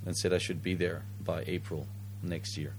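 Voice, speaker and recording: A Danish-raised man, a guest, telling his story in quick mixed Japanese and English. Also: low voice, steady tone, vocal fry, slight background noise. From Denmark. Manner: rapid, switches between Japanese and English mid-story. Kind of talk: bilingual casual interview.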